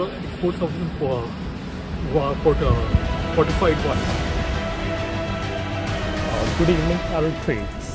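A man's voice: on the high side, rough recording. A man in his thirties from India, talking casually to camera outdoors, hesitant with many fillers. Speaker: business agent in Portugal. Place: outdoors in a city street. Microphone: phone at arm's length.

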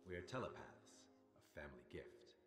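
A man playing a grave, resolute middle-aged warrior father in a video game, speaking in a measured, formal way. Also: extremely low pitch, very animated, fairly bright tone, soft background music, heavily acted. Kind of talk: dramatic video game acting.

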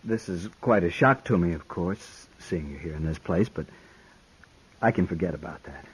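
An actor playing a stiff, proud, condescending gentleman suitor, formal in speech, in a 1950s radio western. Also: deep voice, moderate pace, slightly rough, low-quality recording. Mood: strained, earnest. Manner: formal, clipped, self-important.

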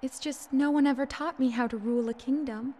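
A woman playing a gentle, anxious young princess in a video game cutscene, speaking softly and hesitantly with formal politeness. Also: sits high, fairly even intonation, brisk. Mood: nervous, doubtful. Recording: loud music under the voice.

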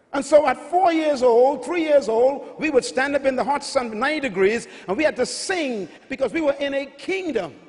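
A middle-aged man with a Bahamian accent preaching a sermon on a large arena stage with a handheld mic, teaching emphatically and repeating key lines. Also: very high-pitched. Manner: emphatic, repetitive teaching with rising calls. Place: large arena stage before a big audience. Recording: large hall with long reverb.

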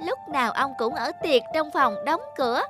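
Cartoon little kid, adult female performer: very high voice, eager, sweet, respectful to elders. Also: moderate pace, over loud music, natural voice. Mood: puzzled. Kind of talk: cartoon child acting.

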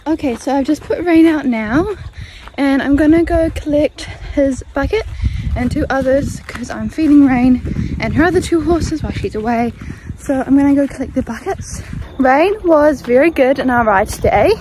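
A teenage girl with a bright, high voice chats upbeat to her phone held at arm's length as she walks around a horse farm. The sound is outdoor phone audio with clear wind and background noise behind her. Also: normal speed, low-quality recording. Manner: chatty, upbeat, talks to camera while walking. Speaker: vlogger. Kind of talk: casual vlog chat.

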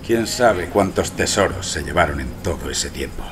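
An actor playing an eloquent, romantic archaeologist who narrates his own story in a poetic, measured way. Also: sits low, expressive, background noise. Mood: recounting. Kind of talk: dramatic first-person scripted narration.

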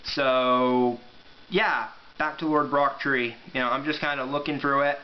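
A man's voice: medium pitch, steady tone, measured pace. A man in his twenties talking casually as an amateur book reviewer, recorded at home on a camera mic. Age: 20s. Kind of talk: casual vlog review with read-aloud passages. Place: small room at home, indoors. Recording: dull home camera audio, faint noise.

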